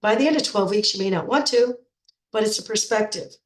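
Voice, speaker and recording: A woman's voice: medium pitch, fairly quick, reverberant. Stuffy-voiced middle-aged woman coach. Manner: chatty, repeats words when restarting. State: stuffed up with a cold. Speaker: nutrition coach. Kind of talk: informal coaching talk to program members.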